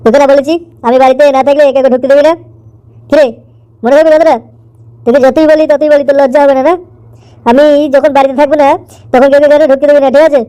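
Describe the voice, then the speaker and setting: A woman's voice: high-pitched, flat delivery, fast talker, long pauses, dark tone, dull audio, close to an everyday voice. A woman voicing a stern, suspicious father in a cartoon comedy, speaking in a scolding, nagging manner.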